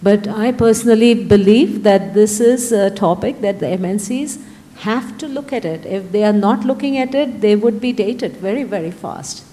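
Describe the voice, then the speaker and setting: A woman's voice: mid-range voice, normal speed, dark tone, in a large hall. A woman speaking as a panelist in a discussion, making her points earnestly and stacking them in emphatic lists. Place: event hall.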